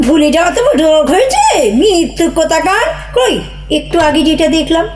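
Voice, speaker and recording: A woman playing a sharp-tongued, bickering wife in a comedy drama, scolding and mocking her husband with theatrical sarcasm. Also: high voice, reverberant. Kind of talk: Bengali audio comedy play acting.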